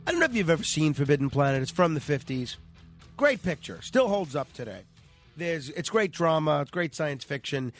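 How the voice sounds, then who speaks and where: A man's voice: fairly high pitch, sing-song, moderate pace, faint music behind. A man hosting conversational talk radio, his voice nasal and stuffed up with a cold. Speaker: radio host.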